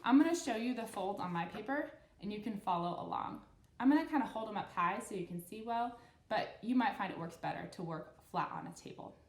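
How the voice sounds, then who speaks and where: A woman's voice: mid-range voice, lively intonation, fairly quick. A woman in her thirties hosting a children's storytime, explaining things simply and encouragingly as she reads and guides young listeners. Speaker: children's storytime host and craft instructor. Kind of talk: read-aloud story and step-by-step craft instruction for kids. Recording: roomy, echoey room sound.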